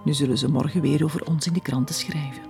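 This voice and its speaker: An actress with a Flemish accent playing a suspicious, insinuating village gossip in a radio play. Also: female voice, low-pitched, fairly even intonation, quick pace, creaky, mellow, soft background music. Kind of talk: 1980s radio play acting.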